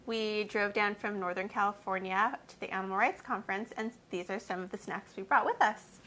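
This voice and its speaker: A woman's voice: medium pitch, brisk, fairly bright tone, rough recording. A young woman guest chatting casually and recommending things in a friendly way, filmed in a living room. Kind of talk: casual chat. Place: living room with window, indoors.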